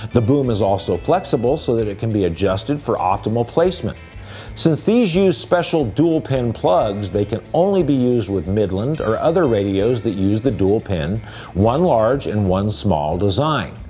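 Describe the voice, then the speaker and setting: A man delivers a scripted product review as a presenter. His mid-pitched voice moves with some lively inflection, and music plays behind him.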